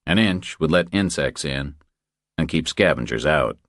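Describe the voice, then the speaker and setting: A man's voice: a bass voice, lively intonation, unhurried, fairly bright tone, no room sound, clean studio sound. A man reading a thriller audiobook as scripted narration.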